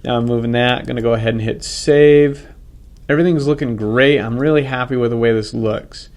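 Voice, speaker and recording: A man with a head cold, talking casually through a software tutorial and correcting himself as he goes. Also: mid-range voice, fairly even intonation, a little fast. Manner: casual, thinks aloud, self-correcting. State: congested from a cold. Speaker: software instructor. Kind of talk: screen-recorded software tutorial.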